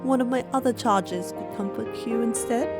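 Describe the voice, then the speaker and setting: A woman's voice: on the high side, moderate pace, over loud music, natural voice. A woman playing a grasping, pleading old brothel madam in an audio drama. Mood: wheedling, pleading. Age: older. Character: Mayal, grasping old silk-house madam, wheedling.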